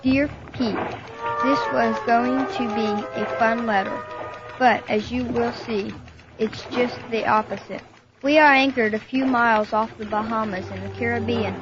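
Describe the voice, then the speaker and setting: A woman's voice: fairly high pitch, fairly even intonation, measured pace, natural voice. A woman playing an earnest young teen diver, narrating a 1970s children's adventure record. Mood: earnest storytelling. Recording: muffled old record with music.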